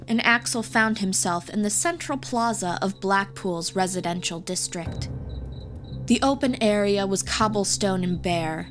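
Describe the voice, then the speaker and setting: A woman reading scripted fantasy audiobook narration as the storyteller, with music behind her. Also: medium pitch. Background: background music.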